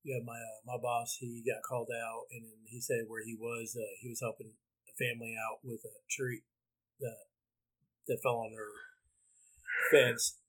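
Flat-voiced male podcast host. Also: medium pitch, normal speed, rough recording. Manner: flat, easygoing, many mm-hmms. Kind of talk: casual podcast chat.

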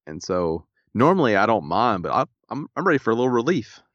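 Very low-voiced stuffy man. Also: big pitch swings, fast talker, no room sound, lo-fi sound. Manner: laid-back, dry humor. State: stuffy, a little raspy. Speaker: podcast co-host. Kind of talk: casual chat.

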